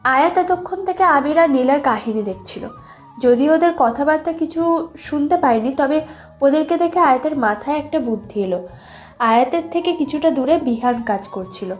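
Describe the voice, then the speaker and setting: A woman's voice: high-pitched, animated, normal speed, soft background music. A woman reading scripted romantic fiction as a storyteller. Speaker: narrator.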